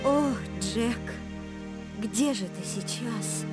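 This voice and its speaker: High-voiced determined adventuress. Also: female voice, extremely slow, loud music behind the voice. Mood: wistful. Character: determined, protective young mother and adventuress. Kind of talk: animated adventure series dubbing.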